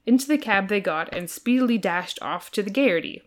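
Woman narrating a storybook, medium-pitched voice. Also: lively intonation, moderate pace. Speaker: podcast co-host reading a novel aloud.

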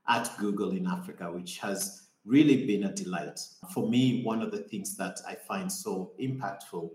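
A man answers interview questions in a low, deep voice. He tells his story in a reflective, measured way. His remote call picks up a roomy echo. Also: a little mellow. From Kenya. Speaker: guest. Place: home or office in Nairobi.

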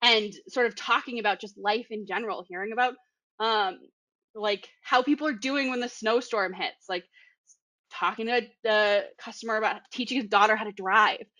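A woman's voice: medium pitch, normal speed, sharp tone, dry recording. A young woman from the Boston area with a Boston accent, chatting casually and laughing often. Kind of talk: casual podcast chat.

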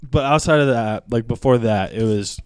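Smooth low male co-host, relaxed hesitant delivery, dry close mic. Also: moderate pace, no room sound. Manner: laid-back, hesitant with fillers. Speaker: podcast co-host. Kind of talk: casual chat.

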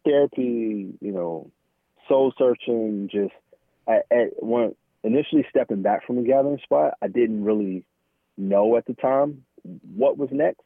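An American man speaking candidly and informally as an interview guest, halting often and peppering his talk with "man" and "you know". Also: medium pitch, expressive, vocal fry, breathy edge, no echo. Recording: thin phone-like line, dry.

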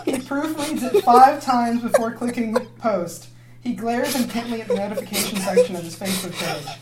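A woman's voice: a little high, lively intonation, moderate pace, heavy background noise. A woman reading script stage directions aloud in an amateur dramatic reading, with mock-serious narration. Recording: roomy echo, others laughing behind.

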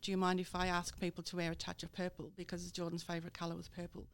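A woman's voice: lowish voice, monotone, fast talker. A woman from the Netherlands, a guest talking openly about personal matters in a candid interview. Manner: candid, with light laughs and fillers.

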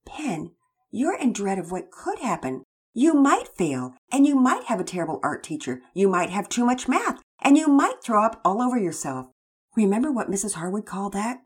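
Storybook schoolboy, calm and explaining, voiced by a female narrator. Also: medium pitch, breathy edge, voice pushed up. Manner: earnest, explaining, gently reassuring. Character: earnest older brother, young schoolboy, gentle.

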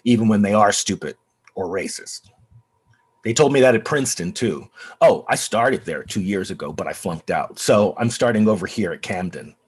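Male, lowish husky voice, actor as young college student, candid, casual; readers theatre. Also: animated, moderate pace. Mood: questioning. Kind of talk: readers theatre play reading.

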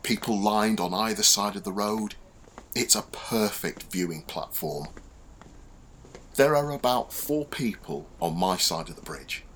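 A man's voice: fairly low pitch, a little slow, fairly bright tone, slight background noise. An actor playing a young man who tells his own story in a confiding, wry radio drama monologue. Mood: wry, reflective. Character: young man narrating his walk home, wry and anxious. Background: music behind the voice.

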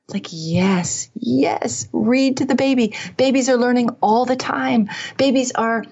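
A woman speaking conversationally as an interview guest, with an animated, self-deprecating manner. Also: on the high side, unhurried, vocal fry, breathy edge. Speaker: guest author. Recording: slightly dull, band-limited remote line.

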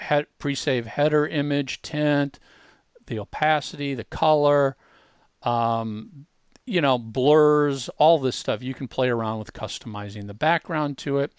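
A man hosting a casual software walkthrough, explaining informally and speaking straight to the listener. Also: medium pitch, clear, resonant tone, dry recording, studio quality. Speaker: host demonstrating software.

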